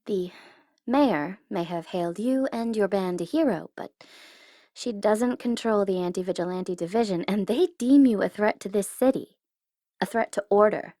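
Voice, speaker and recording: A woman's voice: mid-range voice, warm tone, dry recording, clean studio sound, close to an everyday voice. A woman playing a taunting, coy and commanding supervillain who is secretly smitten, in an ASMR audio roleplay. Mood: commanding, coaxing. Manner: taunting, coy, commanding, with drawn-out deliberate phrasing. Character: teasing, menacing tsundere female supervillain, secretly smitten.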